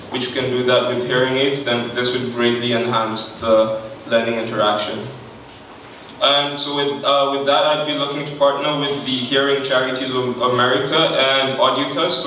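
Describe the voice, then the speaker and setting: Young kenyan guy at a podium, bright voice, roomy hall, mic kinda far. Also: mid-range voice, slight background noise. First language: not English. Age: young adult. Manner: earnest, measured, with fillers. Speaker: student pitching an innovation. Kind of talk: prepared pitch speech. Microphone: podium microphone, recorded from far off.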